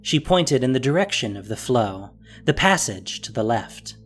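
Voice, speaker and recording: A narrator reading a scripted fantasy story with expressive, descriptive delivery. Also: mid-range voice, normal speed.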